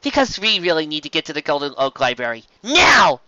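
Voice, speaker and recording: A woman voicing an eager, bossy young female pony, brisk and impatient with a falsely cheery edge. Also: low voice, animated, fairly bright tone, no echo, dull audio.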